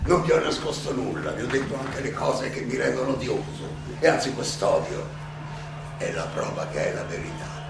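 An actor playing a defiant, ironic old philosopher defending himself at trial, in a theatrical monologue full of rhetorical questions and dramatic pauses. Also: male voice, medium pitch, animated, vocal fry, over loud music. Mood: grave, resolute. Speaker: stage actor. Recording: echoey, distant, noisy stage recording.

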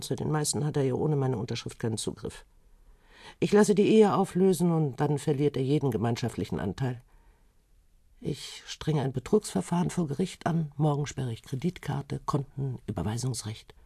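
Vengeful proud wife, husky, creaky, very animated pitch, commanding, theatrical radio drama. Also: female voice, dry recording, clean studio sound, heavily acted. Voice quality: husky, gravelly. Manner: dramatic, commanding, swinging from murmur to sharp attack. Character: bitter, proud, theatrical betrayed wife, a lawyer.

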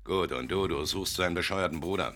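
An actor playing a gruff, calculating gangster boss who gives orders in a German radio play. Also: male voice, low-pitched, fairly quick, natural voice. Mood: hard, commanding. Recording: studio radio play under loud music.

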